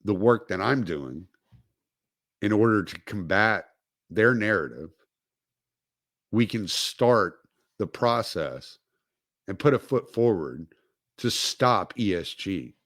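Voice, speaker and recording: A man hosting a casual livestream talk in an unhurried, low voice. He is laid-back, chuckles, and lets words trail off. The sound is dry and close. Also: animated, fairly bright tone, dry recording. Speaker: host.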